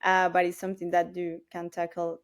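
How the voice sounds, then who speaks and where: Female guest, around forty, Colombian accent, native Spanish; lowish pitch, flat intonation, conversational. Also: a little fast. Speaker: guest, marketing professional. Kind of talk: conversational self-introduction.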